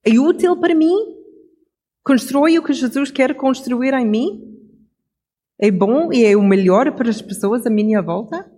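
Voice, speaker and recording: Middle-aged preacher type, calm reflective pace, fixes own words now and then. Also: high-pitched, breathy edge. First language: English. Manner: reflective, measured, with small self-corrections. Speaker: devotional speaker. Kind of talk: Bible devotional message.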